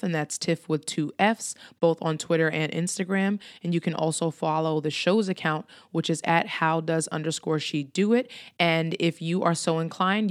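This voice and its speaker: A woman's voice: low-pitched, no echo, clean studio sound. A woman hosting her own podcast, a little under the weather, speaking in an earnest, encouraging way and repeating phrases for emphasis. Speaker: podcast host. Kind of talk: solo podcast talk.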